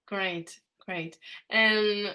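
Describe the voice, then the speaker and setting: A woman hosting a podcast interview in English, which is not her first language, pausing often with fillers and restarts. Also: mid-range voice, fairly even intonation, sharp tone. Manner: hesitant, many fillers and restarts. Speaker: podcast host.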